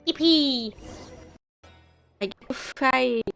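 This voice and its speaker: A woman's voice: high voice, drawn-out words, vocal fry, a bit bright. A woman streaming a video game and giving casual live commentary. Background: game music.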